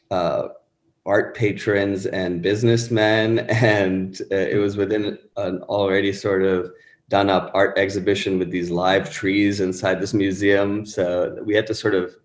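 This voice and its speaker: A man's voice: low-pitched, echoey room. A man in his thirties, a musician guest chatting casually and rambling with many fillers and restarts. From born in Pakistan, raised in Rhode Island. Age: 30s. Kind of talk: casual interview chat.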